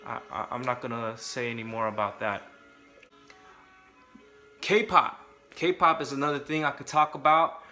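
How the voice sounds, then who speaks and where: A man's voice: mid-range voice, steady tone, a little slow, pauses often, bright, forceful tone, faint music behind. A young adult man from California, talking casually and candidly to camera with joking asides. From Southern California. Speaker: vlogger.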